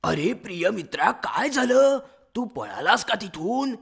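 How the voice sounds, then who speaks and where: A man voicing a cunning fox minister in a children's animal story, raspy and creaky, with a wheedling, flattering manner. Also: sits high, a little slow, heavily acted. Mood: coaxing, falsely friendly. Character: cunning sweet-talking fox, minister to a lion.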